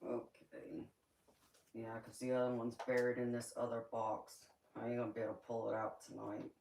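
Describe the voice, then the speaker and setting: A woman's voice: very deep voice, completely flat delivery, moderate pace, creaky, a little mellow, a little hiss or hum. A young woman with a Southern accent, hosting a casual livestream, chatting with viewers, laughing often and answering their comments. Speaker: tarot reader and livestream host.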